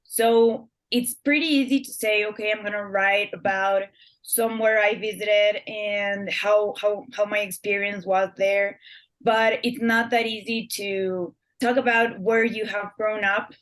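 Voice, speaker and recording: A woman's voice: medium pitch, steady tone, measured pace, fairly bright tone, low-quality recording. Chatty young woman from Ecuador. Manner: chatty, restarts words, many fillers. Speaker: author guest. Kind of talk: casual interview answers.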